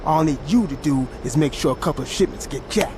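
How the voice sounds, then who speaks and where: A man's voice: fairly high pitch, fast, natural voice. Man acting an ambitious hustler amid street noise. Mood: hopeful, defiant. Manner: streetwise, determined. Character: ambitious, defiant young male street hustler. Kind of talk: video game cutscene acting. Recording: clear outdoor traffic noise.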